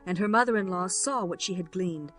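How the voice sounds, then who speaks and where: A woman's voice: fairly low pitch, fairly quick, a bit bright, soft background music. A woman narrator reading a scripted scripture audiobook.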